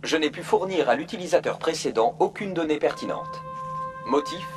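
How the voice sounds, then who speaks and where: An emotionless virtual assistant from a video game , acted by a man, with a fairly high male voice. It is polite and procedural, reading out information in formal phrasing. Faint music plays behind it. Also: expressive, moderate pace, natural voice. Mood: impassive, procedural. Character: polite, impassive virtual assistant program at a corporate console.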